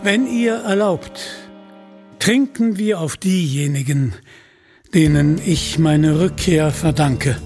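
A man's voice: a little high, slow, a little mellow, faint music behind. A man voicing a commanding, teasing warrior king with a stately manner in a storybook reading. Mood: gracious, solemn. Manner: stately, authoritative. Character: commanding, teasing king disguised as armoured leader.

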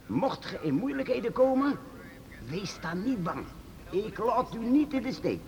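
A man's voice: high voice, dull audio, full character voice. Een man speelt een geheimzinnige oude meester-uitvinder in een hoorspel, kalm en geruststellend. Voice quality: measured, reassuring, lively intonation. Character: secretive old master inventor, calm and fatherly. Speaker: master craftsman. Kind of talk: radio play acting.

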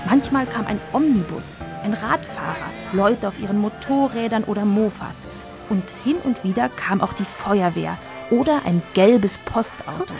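An actress playing the narrator of a children's audio drama, telling the tale in a composed, measured, scripted way. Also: female voice, mid-range voice, expressive, vocal fry, music in the background. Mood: composed storytelling.

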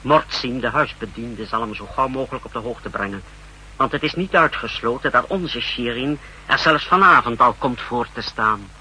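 A man's voice: mid-range voice, normal speed, pushed and bright. An actor playing a zealous secret service subordinate, reporting formally and deferentially to his chief in a 1960s radio drama. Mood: dutiful, eager. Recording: faintly noisy 1960s radio recording.

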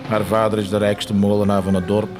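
A man's voice: fairly low pitch, level tone, a little fast, over music, close to an everyday voice. An actor playing a plain, earnest village peasant man who speaks simply and a little hesitantly, in a radio drama.

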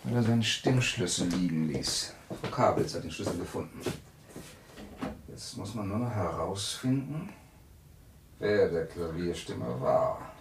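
An actor playing a polite, coolly probing male writer who deliberately provokes, in a German crime radio play. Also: low-pitched, lively intonation, slight background noise. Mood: calm, probing.

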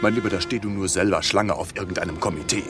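An actor playing a fussy, nervy refugee in a radio drama, agitated and halting, with a self-important manner. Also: male voice, low voice, loud music behind the voice, close to an everyday voice. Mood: impatient. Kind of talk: German radio drama dialogue.

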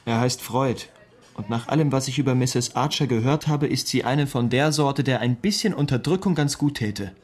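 A man's voice: mid-range voice, moderate pace, a little mellow, close to an everyday voice. A man playing a cocky, eager young cub reporter in a German radio drama, boastful and insistent. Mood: mocking.

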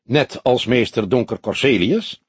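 A man playing an old grey-haired police detective in an audiobook. He probes with wry, deliberate mock innocence, his husky voice rising and falling in a lively way. It is recorded dry. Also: medium pitch, no room sound. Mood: pointed, sinister. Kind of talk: Dutch audiobook character dialogue.

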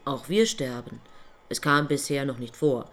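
An actress playing an earnest, plain-spoken angel in a literary radio play, with an understated, matter-of-fact delivery. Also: female voice, very deep voice, expressive, measured pace, full character voice. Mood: quiet, hesitant.

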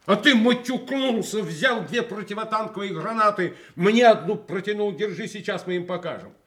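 Radio play: loyal old comrade turned official, familiar, insistent, swooping high delivery. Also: male voice, animated, moderate pace, a bit bright. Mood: encouraging, urgent. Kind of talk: Soviet radio play acting.

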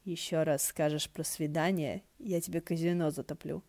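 A woman voicing a proud, sly male ghost lord in an audiobook, sarcastic and quick to bark. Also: sits low, steady tone, darkish tone, dry recording. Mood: mocking, threatening. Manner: sarcastic, barking, teasing.

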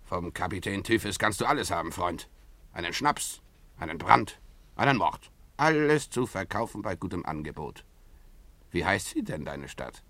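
An actor playing a swaggering, rough, drunken sea captain in a radio play, with a theatrical manner. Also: male voice, low-pitched, very animated, normal speed, fairly bright tone, no echo, full character voice. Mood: sly, swaggering. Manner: theatrical, swaggering, bellowing calls. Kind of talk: German radio play acting.

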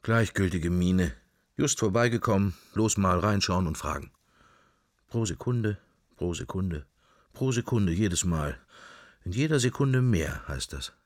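An actor playing a musing, idly drifting man in a radio drama, thinking to himself in husky, fragmentary phrases. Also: low-pitched, lively intonation, measured pace, no echo, clean studio sound. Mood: pondering. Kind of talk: interior monologue in a radio drama.

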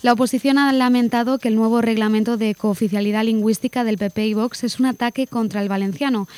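A woman reading local news as the presenter, in a brisk, even newsreader manner. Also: on the high side, dry recording. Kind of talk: scripted news narration.

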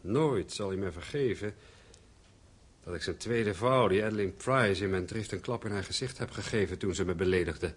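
A man's voice: fairly low pitch, moderate pace, slightly rough. An actor playing a gloomy, stubborn, resentful man in a radio play, speaking with brooding emphasis. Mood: bitter, resolute. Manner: brooding, heavy-hearted, emphatic. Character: gloomy, resentful, stubborn estranged son and father.

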